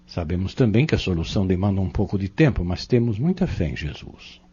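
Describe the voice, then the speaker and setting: A man playing a patient, devout, fatherly spiritual mentor in an audio drama, gentle and instructive. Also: deep voice, very animated, a little fast, husky, exaggerated voice. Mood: calm, earnest. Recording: muffled lo-fi audio.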